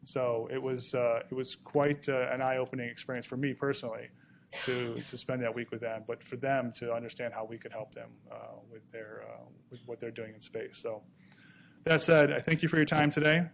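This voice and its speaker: A man speaking on a conference panel, halting often, with many restarts and fillers. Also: medium pitch, steady tone, vocal fry, a little hiss or hum. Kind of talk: panel talk. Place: conference room.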